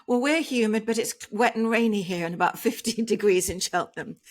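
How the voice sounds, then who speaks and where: A woman's voice: medium pitch, a little fast. A woman hosting a podcast interview over a video call, giving a gushing introduction and leading the conversation. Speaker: podcast host. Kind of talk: online interview. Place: home in England.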